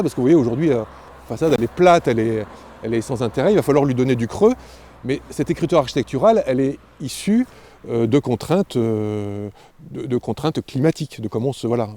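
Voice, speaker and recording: A man giving a spontaneous guided explanation as an architect, halting and often repeating words as he goes. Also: mid-range voice, warm tone. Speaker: architect presenting a building project.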